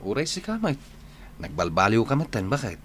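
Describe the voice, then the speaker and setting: An actor playing an earnest, reformed working husband in a radio drama. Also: male voice, mid-range voice, huge pitch swings, brisk, a little hiss or hum. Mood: grateful.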